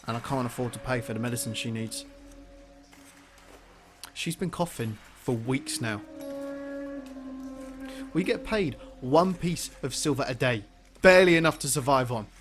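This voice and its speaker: A man's voice: mid-range voice, unhurried, long pauses, slight background noise, lightly acted. A man playing a weary, resentful miner and father. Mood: somber. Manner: gruff and resentful.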